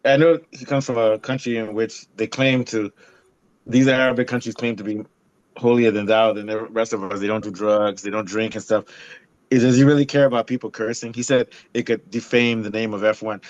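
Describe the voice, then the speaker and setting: A man co-hosting a motorsport podcast, talking bluntly in an animated rant full of restarts. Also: medium pitch, a little fast, no room sound, lo-fi sound. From not European. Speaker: podcast co-host. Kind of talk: casual opinionated chat.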